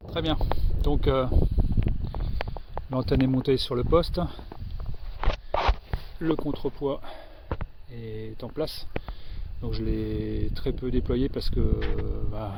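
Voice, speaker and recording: A man giving an informal hands-on equipment demonstration as an amateur radio operator, explaining things hesitantly and pausing to find his words. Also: medium pitch, steady tone, creaky, background noise.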